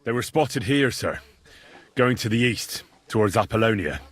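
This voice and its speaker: An actor playing a polite, inquisitive young medieval blacksmith's lad with a husky voice, in a video game. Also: male voice, on the low side, expressive, normal speed, a bit bright, dry recording. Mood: earnest. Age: young adult. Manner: courteous, inquisitive.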